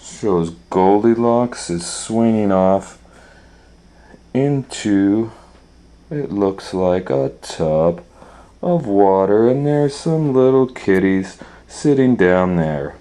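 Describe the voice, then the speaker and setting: A man reads a children's story in a roomy, echoing space. His voice sits a bit low and moves at a slow pace. He keeps breaking off into folksy asides and questions for his listeners. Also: expressive, warm tone. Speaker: storytime reader and educator. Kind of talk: children's picture-book read-aloud with chatty asides. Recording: roomy, echoing room sound.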